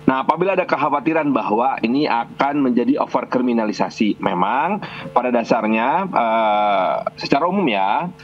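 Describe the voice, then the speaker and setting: Formal lawmaker on a dull video call. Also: male voice, mid-range voice, expressive, slight background noise. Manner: measured, formal, with hesitations and fillers. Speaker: parliament member guest. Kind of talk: remote TV interview answer.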